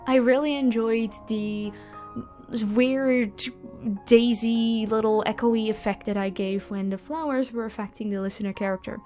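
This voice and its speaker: A young woman with a fairly high voice talks through viewer questions in a chatty way. Her delivery is expressive, stretching some words for emphasis. Music plays softly behind her. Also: normal speed. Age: young adult. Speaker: ASMR audio roleplay creator and voice actor answering questions. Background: background music.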